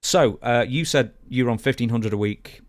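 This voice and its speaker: A man's voice: mid-range voice, moderate pace, dry recording, studio quality. A man hosting a podcast, asking questions in a casual, roundabout and slightly hesitant way. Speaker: podcast host interviewing a guest. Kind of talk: casual interview chat.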